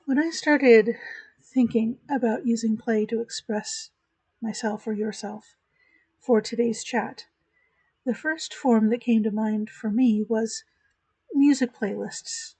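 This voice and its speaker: A woman hosting a virtual church chat, giving a calm, reflective spoken meditation. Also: on the high side, takes it slowly, slightly rough, dry recording, rough recording.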